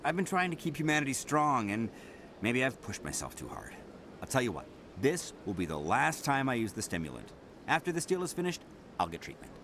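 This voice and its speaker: A man's voice: medium pitch, wildly swooping pitch, a little fast, fairly bright tone. An actor playing a strained, pleading man in a video game, agitated as he speaks. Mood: pleading, resigned. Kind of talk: video game dialogue acting. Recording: clear city background noise.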